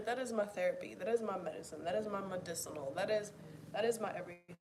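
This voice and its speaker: Soft-spoken woman vlogging at home, thoughtful pauses, hushed near-whispers, faint room hum behind. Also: mid-range voice. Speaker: video journaler. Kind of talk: reflective casual talk to camera.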